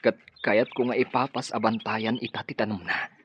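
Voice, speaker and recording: An actor with a Filipino accent playing an earnest, grieving son bent on revenge in a radio drama. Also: male voice, fairly low pitch, flat delivery, moderate pace, a bit bright, slightly rough, muffled recording. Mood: grieving, vowing. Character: vengeful grieving son hunting his father's killers.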